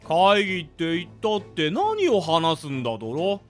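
A woman's voice: fairly low pitch, wildly swooping pitch, measured pace, faint music behind. A woman playing a lazy male mud monster in a radio drama, grumbling with an oafish verbal tic. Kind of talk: anime-like radio drama acting.

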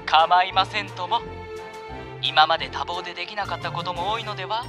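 An actor playing an unctuous, uncanny contractor of lives in a radio drama, speaking with exaggerated, theatrical politeness. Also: male voice, sits high, a little fast, close to an everyday voice. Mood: coaxing, obliging. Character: unctuous, uncanny male life contractor offering sinister favors. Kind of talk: Japanese radio drama acting. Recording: music behind the voice.

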